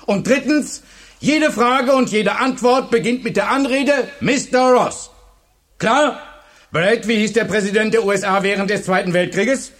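An actor playing a strict history teacher in a radio play, giving clipped, commanding orders to a class. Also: male voice, sits high, unhurried, fairly bright tone. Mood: stern, approving. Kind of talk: German radio play acting.

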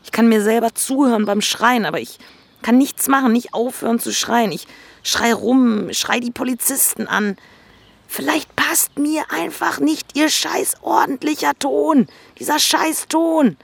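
A woman's voice: high voice, unhurried, creaky, no echo, exaggerated voice. An actress playing a defensive, embittered working-class mother of three in a naturalistic radio drama, talking bluntly and colloquially. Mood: angry, despairing.

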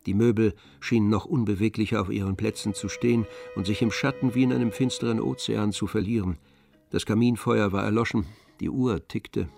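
A man narrating a literary radio drama in a measured, composed storytelling manner. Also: fairly low pitch, steady tone, gravelly, a little mellow, soft background music. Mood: measured, descriptive.

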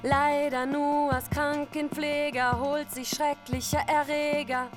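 An actress playing a mock-heroic rhymester who recites comic ballad verse in a singsong way in a radio play. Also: female voice, high-pitched, little pitch movement, slow, soft background music. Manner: singsong rhyming delivery.

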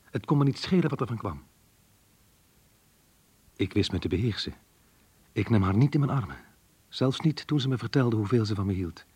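Husky, restrained man in love. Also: lowish voice, no echo. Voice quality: husky, hushed. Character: married man secretly in love, restrained, intense. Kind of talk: radio play acting.

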